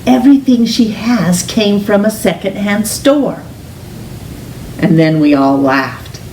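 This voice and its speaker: Perempuan pembaca cerita anak, tempo lambat, ruangan bergema. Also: female voice, medium pitch, animated. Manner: deliberate, gentle storytelling with drawn-out emphasis. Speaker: storytime reader, teacher. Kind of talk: expressive children's picture book read-aloud. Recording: roomy, distant mic with faint hiss.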